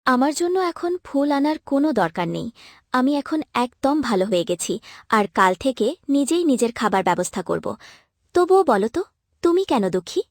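Woman voicing a high-pitched, tender bird queen. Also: sing-song, normal speed, no room sound, studio quality. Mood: concerned. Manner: tender, concerned. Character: gentle, grateful female talking bird queen. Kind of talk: dramatized cartoon story dialogue.

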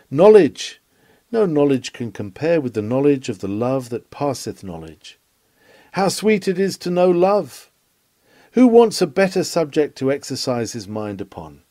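A man's voice: a little high, mellow, no room sound. A man reads a scripted sermon aloud in an earnest, emphatic, rhetorical manner. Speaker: sermon reader.

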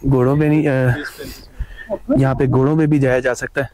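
A man's voice: mid-range voice, flat delivery, normal speed, mellow, slight background noise, poor audio. A man vlogging his mountain trip, chatty and enthusiastic, talking to viewers on a trail outdoors. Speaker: travel vlogger. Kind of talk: casual travel vlog narration.

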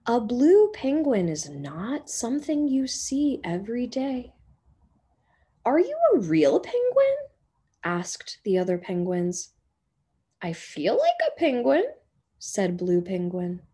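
A woman teacher reading a children's picture book aloud in a gentle storytelling manner, sometimes dropping to a whisper, recorded on a webcam in a small indoor room. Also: on the high side, wildly swooping pitch, breathy edge. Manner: slow, gentle storytelling with soft whispered passages. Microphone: webcam on a video call.

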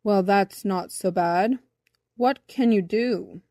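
A woman voicing a slow, serious male bumblebee with a rumbly bass voice in a children's storybook. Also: warm tone, no echo, studio quality. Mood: deliberating. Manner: slow, deliberate, pedantic.

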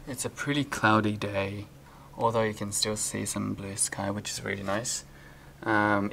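A man's voice: on the low side, slight background noise. A young man vlogging casually as he tours a countryside guest house, chatty and upbeat with frequent ums, filming himself at arm's length. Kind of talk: casual travel vlog tour. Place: guest house indoors and snowy farmyard outdoors. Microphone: handheld camera at arm's length.

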